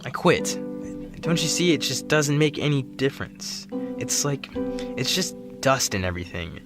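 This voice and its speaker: An actor playing a weary, despairing space flight attendant in an audio drama, speaking in a halting, dejected, grumbling way. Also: male voice, medium pitch, big pitch swings. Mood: despairing, fed up. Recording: music behind the voice.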